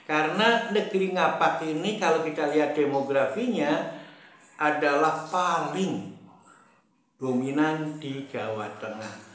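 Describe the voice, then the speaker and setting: A man from Central Java giving a casual political monologue, pausing often with fillers and repeating himself, with a chuckle now and then. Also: medium pitch, a bit bright, very echoey. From Brebes, Central Java. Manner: slow, hesitant, many fillers and repetitions, chuckles often. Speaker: political commentator.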